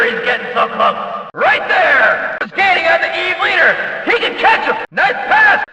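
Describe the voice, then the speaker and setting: An excitable male race announcer for a racing video game, pitched extremely high and piercingly bright. He belts out dramatic, emphatic calls that ring out with heavy echo. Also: exaggerated voice. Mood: excited. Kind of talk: hyped race commentary. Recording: echoey hall, distant from the mic.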